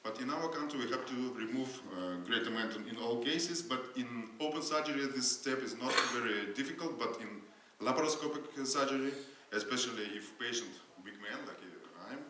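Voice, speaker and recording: A non-native English-speaking man giving a surgery lecture at a conference, halting, with many fillers and restarts. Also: mid-range voice, pushed and bright. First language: not English. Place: lecture hall. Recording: very echoey hall with faint noise.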